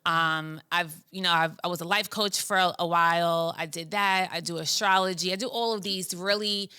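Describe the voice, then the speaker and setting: Bright-voiced New woman. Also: fairly low pitch, steady tone, normal speed, smooth. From New York. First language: Russian. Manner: chatty, frank, many "like" fillers. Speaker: guest, bookstore founder. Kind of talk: casual podcast conversation.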